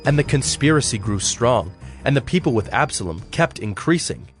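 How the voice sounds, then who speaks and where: A man narrating a dramatized audio Bible in a solemn, measured storytelling manner. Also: mid-range voice, animated, faint music behind.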